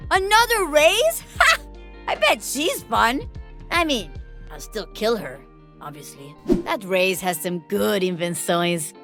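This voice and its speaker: A woman's voice: medium pitch, big pitch swings, slow pace, over music. A woman acting a cheeky, exuberant young inventor from a shooter game, playful and blunt. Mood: playful, teasing.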